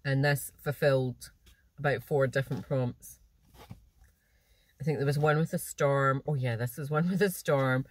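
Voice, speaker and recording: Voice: medium pitch, steady tone, moderate pace, dry recording. A cross-stitch vlogger with a naturally nasal voice and a slightly blocked nose, chatting casually and rambling to the camera from a parked car. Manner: chatty, rambling, with false starts and small laughs.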